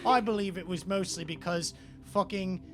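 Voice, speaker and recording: A man's voice: high-pitched, fairly even intonation, normal speed, fairly bright tone, soft background music. A man voicing a washed-up, crippled former rock singer in a tabletop game, rambling and self-pitying in a shoddy put-on accent. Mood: wistful, self-pitying.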